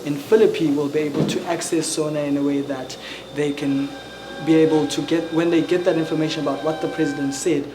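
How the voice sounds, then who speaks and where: A young man in his twenties speaks in an earnest, measured way, pausing on frequent fillers. His voice sits a little high for a man. Steady room noise runs clearly underneath. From South Africa. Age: 20s. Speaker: activist and social entrepreneur. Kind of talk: panel discussion. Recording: noisy ambient background.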